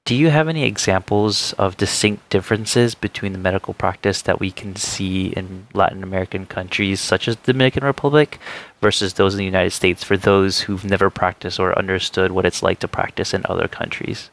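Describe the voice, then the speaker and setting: A man's voice: low-pitched, brisk, gravelly, fairly bright tone. A young man hosting a student podcast, giving a formal, polite introduction and asking interview questions. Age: young adult.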